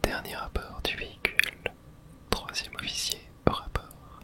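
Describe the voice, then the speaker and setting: A person whispering softly and gently, with no voice in it, close to the microphone, as the host of an ASMR game playthrough. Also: unhurried. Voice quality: soft breathy unvoiced whisper. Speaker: video game streamer and host.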